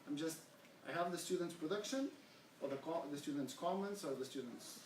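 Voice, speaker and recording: A man's voice: fairly high pitch, expressive, brisk. A middle-aged man from Portugal with a Portuguese accent, giving an earnest academic seminar talk in English and asking the audience questions. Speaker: education researcher presenting. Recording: echoey room, from far off, faint hiss.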